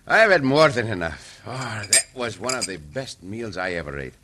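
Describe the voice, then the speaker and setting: An actor playing a gallant, good-humoured Mexican adventurer in an old radio western, speaking accented English. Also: male voice, lowish voice, very animated, moderate pace. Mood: courteous. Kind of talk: 1950s radio western acting. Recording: vintage radio recording, faint noise.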